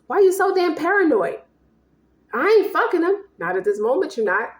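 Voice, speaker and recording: A woman's voice: medium pitch, sing-song, fast, darkish tone, lightly acted. A woman acting out a defiant, fed-up American woman who taunts and curses at her partner. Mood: indignant. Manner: confrontational, taunting, profane. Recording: echoey room.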